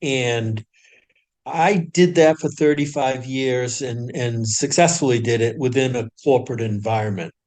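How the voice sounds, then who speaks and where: A middle-aged man with an average-pitched voice speaks as a podcast guest. He talks reflectively and carefully, pausing and restarting his sentences as he works through his thoughts. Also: a little mellow. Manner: thoughtful, hesitant, with frequent restarts and pauses. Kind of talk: reflective conversational storytelling.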